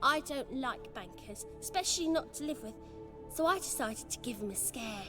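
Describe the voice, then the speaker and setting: A woman's voice: sits high, expressive, moderate pace, music in the background. An actress voicing a mischievous, playful little girl godling in a video game, with a childlike, teasing British manner of speech. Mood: confiding, sly. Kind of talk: video game character acting.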